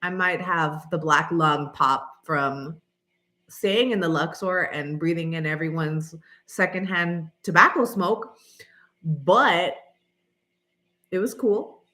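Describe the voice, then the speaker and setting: A woman in her late thirties, stuffy and nasal from allergies, chatting casually and rambling to her viewers while often clearing her throat. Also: low voice, slow pace, pushed and bright, reverberant. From American. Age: 30s. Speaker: channel host. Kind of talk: casual vlog chat.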